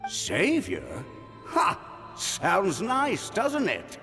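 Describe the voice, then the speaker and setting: An actor playing a gleeful, mocking tormentor in a video game, theatrical and sneering. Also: male voice, high-pitched, wildly swooping pitch, drawn-out words, vocal fry, sharp tone, long reverb tail, faint music behind, heavily acted. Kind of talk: scripted video game dialogue.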